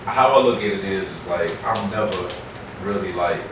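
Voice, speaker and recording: Very echoey, deep-voiced American man. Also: normal speed. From Chicago, United States. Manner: slangy, frequent swearing. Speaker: interview guest, rapper and producer. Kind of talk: casual interview chat. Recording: echoey, distant mic, faint noise.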